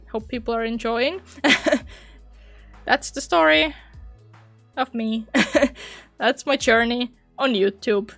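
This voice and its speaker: A young woman who makes gaming videos, chatting casually and giggling often, her voice a little hoarse from long talking. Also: a little high, a bit bright. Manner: chatty, giggly, laughs often between phrases. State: slightly hoarse, losing her voice. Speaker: gaming YouTuber. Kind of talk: casual reflective vlog chat.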